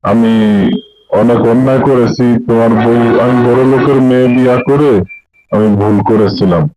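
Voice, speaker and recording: A man's voice: mid-range voice, steady tone, moderate pace, very noisy, lightly acted. A man voicing a contrite, obedient grown son in a cartoon, apologetic and earnest. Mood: remorseful.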